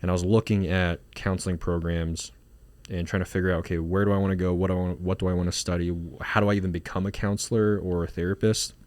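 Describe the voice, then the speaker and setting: A man with a low, steady voice talks through his answers in a loose, rambling way, full of fillers and false starts. He is from Singapore and speaks as a therapist guest in a casual conversation. The sound is close and dry, with no room around it. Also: fast, no echo. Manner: relaxed, rambling with many fillers and restarts. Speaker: marriage and family therapist guest.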